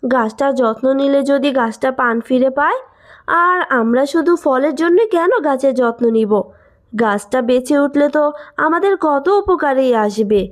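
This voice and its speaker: Woman acting a kind young village mother, high voice, patient, earnest, devout, cartoon story. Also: expressive, moderate pace. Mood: patient, hurt. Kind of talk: animated moral story acting.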